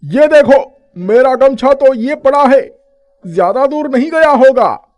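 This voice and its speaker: Man playing high-pitched agitated village man. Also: animated, measured pace, a little mellow. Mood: urgent. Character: agitated male villagers and priest in a folk tale. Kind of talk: animated moral story dubbing.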